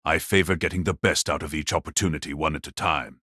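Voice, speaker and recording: Casting: ancient villainous mage, extremely low raspy voice, gloating and sinister, dramatised audiobook. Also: male voice, steady tone, sharp tone, no echo, studio quality, full character voice. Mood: condescending, calculating. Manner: slow, sinister, condescending. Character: wicked old male magus patriarch, cold, mocking, gloating.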